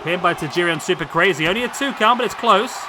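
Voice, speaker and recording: A man commentating on a wrestling video game, losing his voice, chatty and excitable as he calls the action. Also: high-pitched, quick pace. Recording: loud game crowd noise behind. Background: video game crowd and arena sound.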